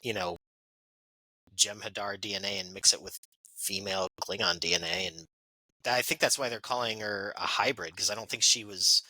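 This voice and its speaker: Guy with a really bright sharp voice thinking out loud, dry close sound. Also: on the low side, dry recording, low-quality recording. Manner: reasons things out aloud, thinking as he talks. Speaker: podcast co-host. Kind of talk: casual chat.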